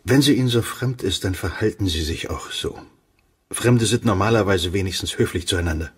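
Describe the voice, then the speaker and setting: An actor playing a grave, insistent investigator in a radio play, speaking in a sober, restrained and firm way. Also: male voice, low voice, normal speed, slightly rough, distant mic. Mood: firm, concerned.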